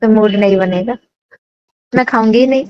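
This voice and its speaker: A woman's voice: fairly high pitch, normal speed. A young woman chatting casually and intimately on a phone call, coy, often answering with short hums. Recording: dull lo-fi phone call recording, roomy.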